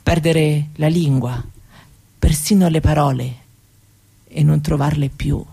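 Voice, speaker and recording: Solemn, low-toned grieving granddaughter played by an actress. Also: female voice, fairly even intonation, takes it slowly, gravelly, mellow, husky, exaggerated voice. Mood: grave, sorrowful. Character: grieving granddaughter telling of her traumatised Roma grandmother. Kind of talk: solemn theatrical monologue.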